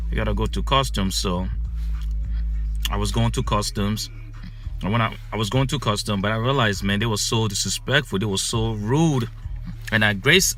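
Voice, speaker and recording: A man, born in Ghana and raised in the United States, telling a personal story in a casual, animated way full of "man" and "you know.". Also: lowish voice.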